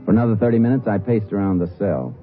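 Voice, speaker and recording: Deep-voiced actor as a hard-boiled Texas lawman. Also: animated, fast, natural voice. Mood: terse, steady. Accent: American. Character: Texas Ranger posing as surly tough jailed crook. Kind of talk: 1950s radio acting and first-person narration. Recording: muffled vintage 1950s radio recording, music.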